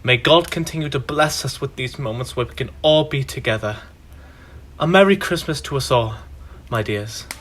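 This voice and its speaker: A young man playing a humble, gentle poor father who speaks warmly to his family and leads a prayer. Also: mid-range voice, moderate pace, close to an everyday voice. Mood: tender. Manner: gentle, warm-hearted, prayerful. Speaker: student actor.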